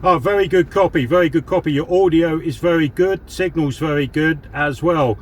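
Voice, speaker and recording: A man's voice: a little high, normal speed, slight background noise. A man from southern England, a CB radio hobbyist, chatting casually with radio jargon and walking through a gear demo. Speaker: CB radio hobbyist and video host.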